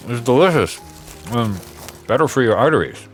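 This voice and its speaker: A man playing a shy, gentle, scholarly character in an audio drama, speaking hesitantly with stammers. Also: mid-range voice, very animated, a little slow, faint music behind. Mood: awkward fond. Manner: hesitant, stammering, nervous small laughs. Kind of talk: naturalistic audio drama acting.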